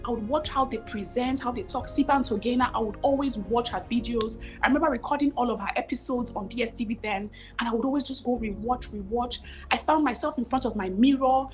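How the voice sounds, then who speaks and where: A woman in her thirties with a Nigerian accent, telling her story as a guest over a video call, expressive and repeating phrases for emphasis. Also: a little high, fast talker, faint music behind. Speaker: guest, cooking show host. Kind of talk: animated storytelling interview. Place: home room with curtains, video call.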